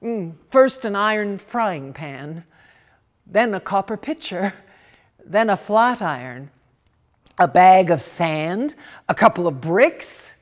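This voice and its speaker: Slow, expressive woman storyteller. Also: medium pitch. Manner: dramatic, with deliberate hesitations and repeated words. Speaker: reader of short stories. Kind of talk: theatrical, expressive literary story reading.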